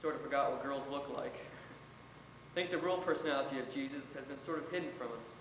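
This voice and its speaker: A man plays a young American soldier, weary and wry, in a readers theater performance of a letter home. His mid-pitched voice rings around a reverberant hall with some background noise. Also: brisk, natural voice. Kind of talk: dramatic reading of war letters. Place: college theater stage. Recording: echoey hall from afar, noisy.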